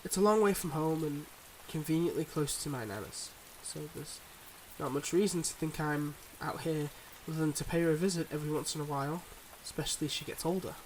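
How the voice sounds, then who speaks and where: Man playing a tender, guarded mob boss boyfriend, higher-pitched. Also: a little mellow, slight background noise, close to an everyday voice, low-quality recording. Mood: guarded, explaining. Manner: earnest, measured, halting confessions. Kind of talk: ASMR boyfriend roleplay, intimate monologue.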